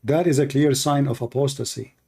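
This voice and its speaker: A man's voice: mid-range voice, fairly even intonation, fairly quick, creaky, dark tone, husky. A man whose first language is Arabic, hosting a polemical religious commentary in emphatic, repetitive English. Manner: emphatic, repetitive, non-native phrasing. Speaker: religious debate host.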